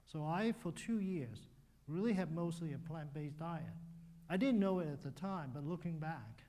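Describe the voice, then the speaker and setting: Older man, mellow and easygoing. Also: a little high, normal speed, slight background noise. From Hong Kong. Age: 60s. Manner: relaxed, conversational, with restarts and fillers. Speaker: guest speaker, physician. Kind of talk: church health talk.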